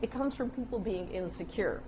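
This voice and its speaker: An older woman, telling stories conversationally as an interview guest, with an animated manner. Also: mid-range voice, fairly quick. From United States. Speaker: interview guest, communications specialist. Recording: clear background noise and room ambience.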